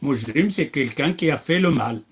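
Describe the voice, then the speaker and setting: A man's voice: medium pitch, expressive, moderate pace, crowd noise. A man preaching and answering questions, emphatic, breaking into recited Arabic verses. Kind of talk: religious Q&A.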